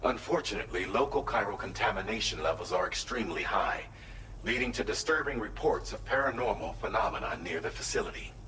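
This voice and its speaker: A man playing a composed mission coordinator in a video game, giving a formal, measured briefing. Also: lowish voice, lively intonation, pushed and bright. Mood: serious, businesslike. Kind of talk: scripted game dialogue, briefing. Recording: roomy echo, distant mic, faint noise.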